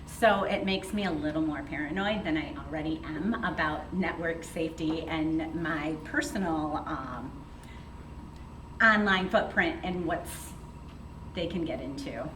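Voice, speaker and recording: A woman's voice: sits low, measured pace. A woman, a school technology director, introducing herself informally in a group discussion. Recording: echoey room, distant mic, steady noise.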